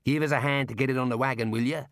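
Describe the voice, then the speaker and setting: A man doing a gruff outback bloke. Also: medium pitch, steady tone, racing, a bit bright, no echo, studio quality, close to an everyday voice. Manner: gruff, blunt, matey. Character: rough Australian outback man, cheerful and wild.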